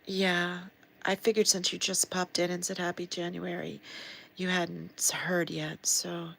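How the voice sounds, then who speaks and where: A woman's voice: lowish voice, level tone, a little slow, creaky, a bit bright. A woman hosting a casual livestream. She is sniffly and losing her voice, and she talks earnestly with many fillers and restarts. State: sniffly, sad. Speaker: livestream host and activist. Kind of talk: casual livestream talk to viewers.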